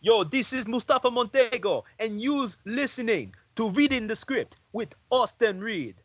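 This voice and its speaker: A man putting on a brash male hype man with a jokey mock Jamaican accent, giving a loud shout-out. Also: extremely high pitch, expressive, moderate pace, no echo, pitched above the performer's own voice. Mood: hyped.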